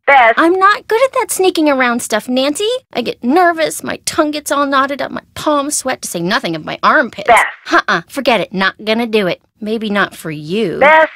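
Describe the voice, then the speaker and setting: A woman playing a perky, chatty and nervous young American sidekick in a video game, expressive and wry in a casual conversational way. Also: sits high, normal speed, few pauses, dry recording, studio quality, full character voice. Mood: reluctant, exasperated.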